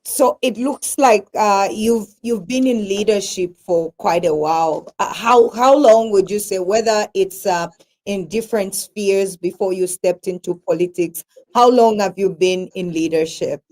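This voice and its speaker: A woman hosting a radio program, asking questions with many pauses and fillers. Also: mid-range voice, a little mellow. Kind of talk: interview hosting.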